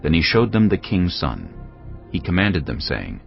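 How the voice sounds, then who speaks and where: A man narrating scripture in a steady, measured reading for a dramatized audio Bible. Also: deep voice, vocal fry, muffled recording, faint music behind.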